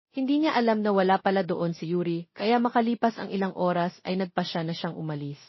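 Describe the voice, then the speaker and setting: Woman narrating a love story, mid pitch, close and dry, slightly dull audio. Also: dry recording. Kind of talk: scripted romance story narration.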